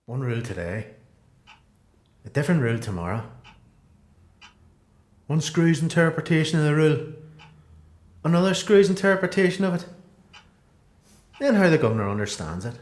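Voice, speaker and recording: A man with a Belfast accent playing a frustrated prisoner in a radio play, delivering his phrases deliberately, one by one. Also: a little high, very animated. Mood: exasperated. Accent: Belfast Northern Irish. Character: frustrated male prisoner who craves consistency. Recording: roomy echo.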